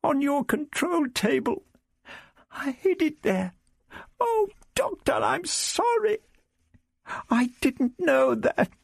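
A man's voice: very high-pitched, sing-song, takes it slowly, creaky, long pauses, no room sound, studio quality, voice pushed up, exaggerated voice. A man voicing a frightened, apologetic young girl in a storybook reading, stammering and pleading. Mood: distressed, apologetic.

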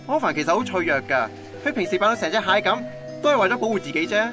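Actor as high-voiced lovesick young Hong Kong man. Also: big pitch swings, quick pace. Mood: earnest devotion. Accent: Hong Kong Cantonese. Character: lovesick young man, stubbornly devoted, self-deprecating romantic. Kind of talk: radio drama dialogue. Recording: guitar music under the voice.

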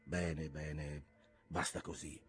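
A man voicing a brooding, obsessed old sea captain in an audiobook, switching between hushed whispers and forceful outbursts. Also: a bass voice, level tone, takes it slowly, heavily acted. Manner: intense, shifting between whispers and loud outbursts.

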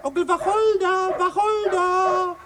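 Radio drama: excitable, grumbling doctor-journalist, theatrical bluster, very high voice, noisy backdrop with dog sounds. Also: male voice, slow pace. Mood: exasperated. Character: excitable, grumbling bohemian doctor and newspaper writer. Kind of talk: German radio play acting.

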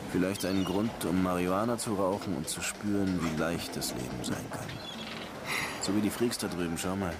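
An actor playing a brooding, world-weary police lieutenant in a radio crime drama, laconic and probing with a melancholy streak. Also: male voice, low-pitched, close to an everyday voice. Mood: melancholy, reflective. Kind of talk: naturalistic radio play acting.

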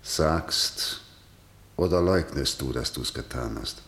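A male actor playing a stern, imperious king, declaiming deliberately in a verse radio drama. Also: rumbling low, fairly bright tone, reverberant, full character voice. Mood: stern questioning. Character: stern, imperious king interrogating a defiant prisoner.

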